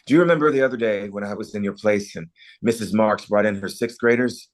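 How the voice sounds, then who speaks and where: A man's voice: on the low side, fast talker, close to an everyday voice. An actor reading an earnest, nostalgic small-town man in a holiday romance table read. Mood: heartfelt.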